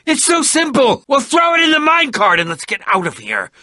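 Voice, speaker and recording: An actor voicing an excitable, eccentric, sarcastic adventurer in a video game, with a theatrical delivery that moves between muttering and exclaiming. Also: male voice, very high-pitched, fairly quick, dry recording, clean studio sound, exaggerated voice. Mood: excited, elated. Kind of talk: animated video game voice acting.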